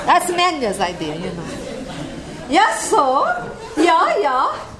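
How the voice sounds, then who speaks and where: A woman's voice: high voice, huge pitch swings, slow pace, crowd noise. A woman with an Icelandic accent telling rambling stories about a friend to a gathering, chuckling often as she talks. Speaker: speaker sharing memories of a friend. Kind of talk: casual storytelling to a gathering.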